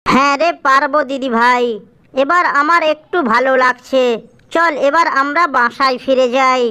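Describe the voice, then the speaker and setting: Cartoon grandmother bird , female performer: elderly, frail, fond, high bright voice. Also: unhurried. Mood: fond, relieved. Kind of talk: children's cartoon voice acting.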